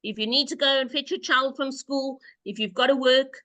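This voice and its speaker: This woman has a high, bright voice and leads a group call. She explains patiently and leans on emphatic repetition to drive her points home. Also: steady tone, normal speed. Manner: emphatic, repeats key points. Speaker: call host. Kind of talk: call presentation, explaining step by step.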